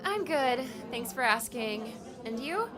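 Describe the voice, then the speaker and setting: Woman playing an earnest, higher-voiced office worker. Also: very animated, takes it slowly, crowd noise. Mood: curious, polite. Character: earnest, slightly awkward female office worker. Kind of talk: audiobook character dialogue.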